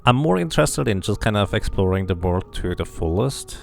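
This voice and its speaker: A man's voice: lowish voice, animated, brisk, vocal fry. A man doing a casual game playthrough, musing to himself and to viewers and reading narration aloud.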